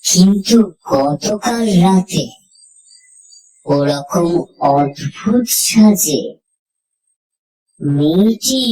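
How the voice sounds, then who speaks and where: Woman reading a spooky audio story, lowish voice, really slow w lots of suspenseful pauses. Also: animated, mellow, low-quality recording. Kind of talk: scripted horror story narration, dramatic first-person reading.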